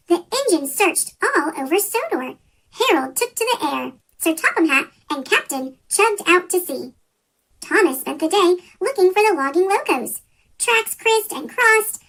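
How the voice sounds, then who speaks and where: A children's story reader with a pitch-shifted chipmunk voice, reading a picture book aloud from a script. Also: very animated, measured pace, fairly bright tone, poor audio. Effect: sped-up chipmunk pitch shift.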